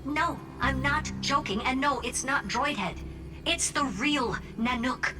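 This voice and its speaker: A self-assured genius, higher voice. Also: female voice, measured pace, noisy background. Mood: grave, emphatic. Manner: wry, self-assured, talking down playfully to the listener. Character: confident female genius, teasing and self-assured, turning grave. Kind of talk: video game dialogue voice acting. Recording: loud music bed under voice. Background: background music.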